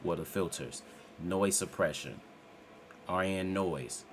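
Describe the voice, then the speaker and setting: A man with a very deep, low voice talks casually into a desktop microphone at his home computer setup. He is self-assured and rambles, trailing off and starting over as he goes. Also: expressive, measured pace, a little hiss or hum. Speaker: channel host reviewing microphones. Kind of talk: casual tech review talk. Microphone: desktop USB microphone.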